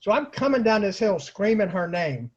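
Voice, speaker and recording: A man telling casual comic stories in an animated way, acting out quotes and sound effects. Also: sits high, moderate pace, slightly rough, highs cut off. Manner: acts out quotes and sound effects, bursts into exclamations. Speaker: storyteller and host of an online story series.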